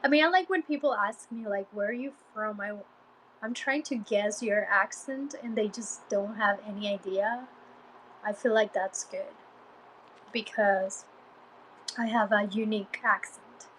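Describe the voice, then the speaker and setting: Slow-talking Guatemalan woman chatting live. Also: mid-range voice, a bit bright, a little hiss or hum, poor audio. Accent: Guatemalan Spanish. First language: Spanish. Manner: chatty, hesitant, many fillers and restarts. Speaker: Spanish teacher livestreaming. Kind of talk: casual live-stream chat answering viewers.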